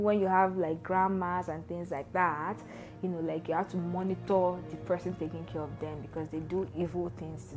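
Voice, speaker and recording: Animated woman interviewee. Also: on the low side. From Nigeria. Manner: animated and emphatic. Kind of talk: casual interview answers. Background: faint music.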